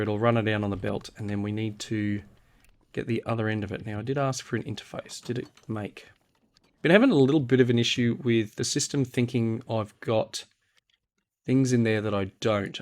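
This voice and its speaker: A man who is unwell, husky and a little nasal, giving a casual video game walkthrough as host and thinking aloud as he goes. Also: fairly low pitch, a little mellow. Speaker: host of a gaming series.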